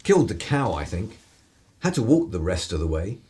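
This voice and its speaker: An actor playing a cynical, irreverent 1930s English broadcaster in a radio play, with a husky voice and sardonic, theatrical turns of phrase. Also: male voice, low voice, sing-song, normal speed, warm tone, a little hiss or hum. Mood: exasperated.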